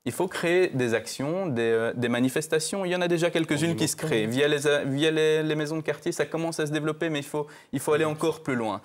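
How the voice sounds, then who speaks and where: A man's voice: fairly high pitch, fast, hardly any pauses. A local election candidate in a televised debate who speaks earnestly and often restarts his phrases. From Italy. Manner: earnest, hesitant with repeated restarts.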